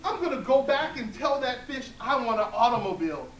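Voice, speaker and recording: Woman putting on a shrill, demanding old woman. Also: big pitch swings, a little fast. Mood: eager. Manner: shrill, pleading, insistent. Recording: echoey room, distant mic, hum.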